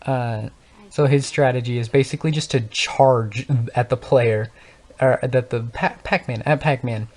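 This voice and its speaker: A man's voice: mid-range voice, steady tone, measured pace, darkish tone. A man talking off the cuff over gameplay, stuttering and stumbling over his words, with lots of fillers as he thinks aloud. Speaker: gaming channel host. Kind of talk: unscripted casual talk over gameplay.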